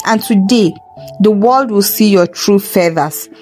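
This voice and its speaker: A woman's voice: mid-range voice, measured pace, darkish tone, natural voice. A woman storyteller plays a mysterious Nigerian old woman with magical powers. She is stern and menacing, pronouncing judgment in a folktale over faint music.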